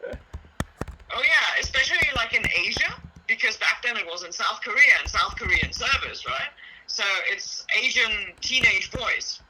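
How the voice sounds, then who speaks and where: A young woman with a relatively deep voice, chatting casually and hesitantly with lots of fillers. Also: expressive, normal speed, background noise. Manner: hesitant, many fillers and restarts. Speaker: project creator. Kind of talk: casual chat.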